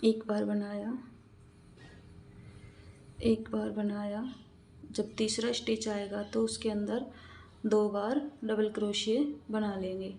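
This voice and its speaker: A woman's voice: medium pitch, flat delivery, slow, creaky, long pauses, a little mellow, a little hiss or hum. A woman narrating a step-by-step craft tutorial, explaining in a repetitive, instructional way and counting aloud.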